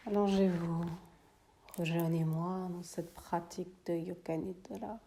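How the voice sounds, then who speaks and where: A woman teaching yoga nidra, guiding a meditation in a soothing, hushed, breathy delivery that often drops to a near whisper, with long pauses. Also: fairly low pitch, fairly even intonation. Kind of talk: guided meditation.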